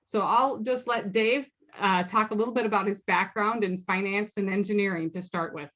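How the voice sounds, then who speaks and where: Woman, moderator, mid pitch, orderly housekeeping instructions, video call. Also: steady tone, moderate pace, fairly bright tone. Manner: organized, giving housekeeping instructions. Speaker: session moderator. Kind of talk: opening a recorded online Q&A.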